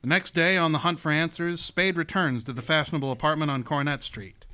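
A man's voice: fairly high pitch, fairly even intonation, fairly quick, sharp tone. A man giving dramatic scripted narration for a noir radio play.

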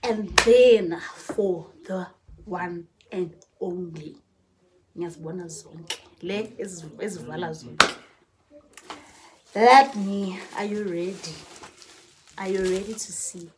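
A woman's voice: on the low side, slow pace, long pauses, noisy background. A woman, chatting casually through a haul vlog in her bedroom, repeating phrases and slipping into Zulu words. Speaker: vlogger. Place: bedroom, indoors. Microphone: phone camera at arm's length.

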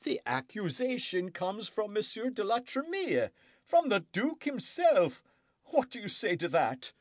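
Casting: male narrator voicing a querulous French king, raised pitch, swooping lines, dry close mic. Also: very animated, measured pace, no echo. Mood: pressing. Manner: querulous, demanding, theatrical. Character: petulant suspicious young French king. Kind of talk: audiobook character voice.

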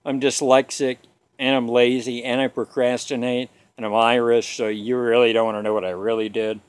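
A man's voice: mid-range voice, level tone, normal speed, clear, resonant tone. Joking bearded man vlogging outdoors. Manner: jokey, self-deprecating, rambling. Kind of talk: casual rambling vlog. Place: outdoors in a suburban front yard. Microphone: phone camera at arm's length.

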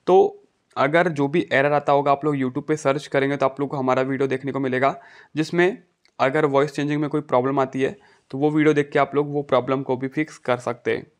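A man's voice: mid-range voice, fairly even intonation, brisk. A man talking through a casual step-by-step phone tutorial as the host.

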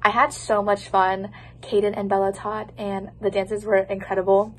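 A woman's voice: mid-range voice, little pitch movement, normal speed, a little hiss or hum, poor audio. A young woman vlogging casually to her phone camera in a dorm room, bubbly and chatty, often giggling. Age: young adult. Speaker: student vlogger. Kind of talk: casual vlog chat to camera. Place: college dorm room, indoors. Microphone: phone held at arm's length.

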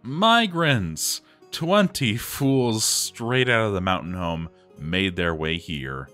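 A man's voice: sits low, fairly bright tone, exaggerated voice. A man voicing a gloomy, scornful dwarf settlement leader, reading his journal aloud in a theatrical, emphatic way. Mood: scornful, exasperated. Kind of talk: dramatic journal narration over a game. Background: music.

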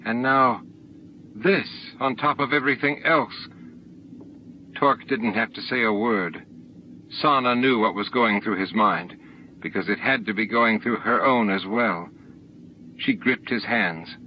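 A man's voice: lowish voice, unhurried, pauses often. A man narrating a novel as an audiobook reader, reading from a script. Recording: dull, faintly noisy recording.

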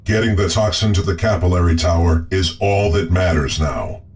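An actor playing a resolute, steady space marine officer in a video game, speaking firmly and formally. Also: male voice, deep voice, moderate pace, slight background noise, natural voice. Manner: firm, formal. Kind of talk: video game mission dialogue. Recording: distant voice under loud music, engine sounds.

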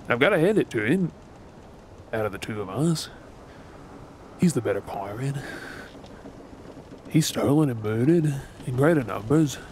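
A man playing a gruff, wounded, bitter yet tender pirate captain in a role-play drama, sardonic and brooding with dramatic pauses. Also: mid-range voice, lively intonation, darkish tone, slight background noise, natural voice. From Australia.

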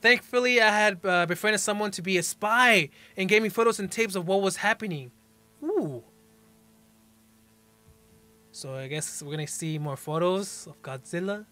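High-voiced young man reacting casually. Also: normal speed, sharp tone. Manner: slangy, exclamatory. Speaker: reaction video host. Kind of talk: casual reaction commentary, reading on-screen captions aloud. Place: small bedroom, indoors. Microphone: desk microphone up close, headphones on.

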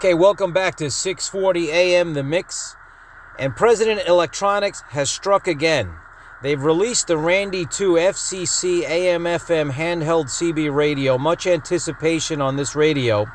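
A man's voice: on the high side, normal speed. A man hosting a hobby video, talking through a product in an informal, matter-of-fact way. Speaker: host of a radio-hobby video channel. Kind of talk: casual product announcement and feature rundown. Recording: clear steady background noise.

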